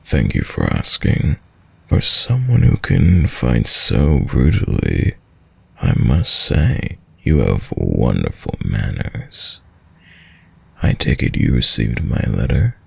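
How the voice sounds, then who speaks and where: Very deep, gravelly vampire lord. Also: male voice, no echo, heavily acted. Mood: courteous, predatory. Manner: courtly, seductive, menacing, lingering over words. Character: suave, predatory, dominant male vampire lord. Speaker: audio roleplay voice actor. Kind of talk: slow, intimate dramatic audio roleplay.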